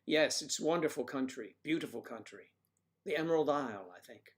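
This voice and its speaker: A man hosts a casual livestream from home, reading out viewers' comments. He rambles and cracks jokes as he greets people in the chat. His voice sits at an ordinary middle pitch. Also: animated, fairly quick. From United States. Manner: chatty, jokey, rambling, talks to viewers by name.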